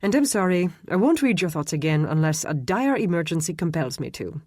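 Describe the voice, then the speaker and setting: A woman voicing a cheerful young woman with a lilting French accent, talking quickly. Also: lowish voice, very animated, darkish tone, no echo, clean studio sound. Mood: bright, friendly.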